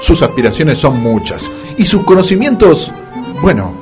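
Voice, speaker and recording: A wry Argentine storyteller for a radio play. His voice is on the high side and swoops dramatically through the scripted narration, over music. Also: big pitch swings, normal speed. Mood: wry suspense.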